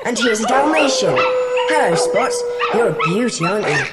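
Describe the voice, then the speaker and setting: A woman playing a brisk, decisive schoolboy who leads a secret club in a dramatised children's audiobook. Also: a little high, sing-song, very noisy. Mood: cautious, excited. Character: bossy, sensible schoolboy leader of a secret club.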